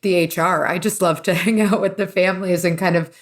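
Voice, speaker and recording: A woman's voice: fairly low pitch, fairly even intonation, a little fast. A woman from the US East Coast chatting casually as an interview guest, talkative and self-deprecating. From US East Coast, New Jersey and New York. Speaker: interview guest, renovation business partner.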